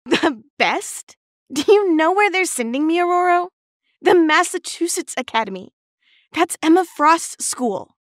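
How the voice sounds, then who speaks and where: A woman's voice: extremely high pitch, animated, a little slow, no room sound, clean studio sound. A woman playing a hurt, stubborn teenage schoolgirl heroine in an audio drama, blunt and emotional. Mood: sulky, upset.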